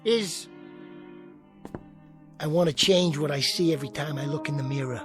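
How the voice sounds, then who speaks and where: An actor playing a small, bitter, hot-tempered jockey in a radio drama, with a streetwise, combative, slangy way of talking. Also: male voice, fairly high pitch, normal speed, over music, close to an everyday voice. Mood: bitter yearning.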